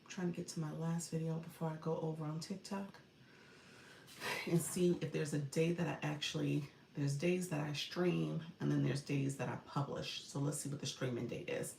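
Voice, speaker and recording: A woman's voice: sits low, fairly even intonation, moderate pace, creaky, darkish tone, echoey room, a little hiss or hum. A woman from Florida hosting a casual live stream, chatting with viewers, greeting them and laughing often. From Florida, USA. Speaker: live stream host and coach.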